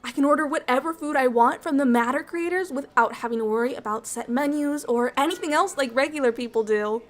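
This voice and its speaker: A woman voicing a bubbly, friendly alien ambassador in an ASMR roleplay, chatty, excitable and quick to apologise. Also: high voice, close to an everyday voice.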